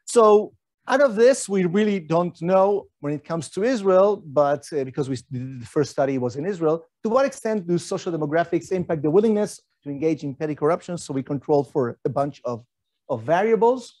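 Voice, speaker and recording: A man's voice: a little high, lively intonation, normal speed, a little mellow, dry recording. A middle-aged man, giving an academic lecture in a conversational way with rhetorical questions and fillers. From Argentina.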